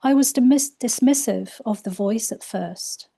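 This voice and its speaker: A woman reading aloud from her own spiritual book in a soft, unhurried way, her voice a little croaky. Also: fairly high pitch. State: slightly croaky voice. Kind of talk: slow gentle book reading.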